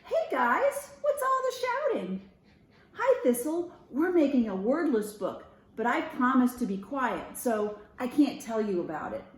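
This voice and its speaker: A woman voicing an eager, chatty, naive little mouse from a picture book, excitable and chattering. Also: high-pitched, a little slow. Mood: cheerful, eager. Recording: roomy echo.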